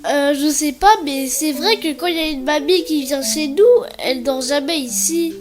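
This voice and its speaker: A woman voicing a curious young granddaughter in an animated film, with music playing behind her. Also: high voice, moderate pace, lightly acted. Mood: chatty.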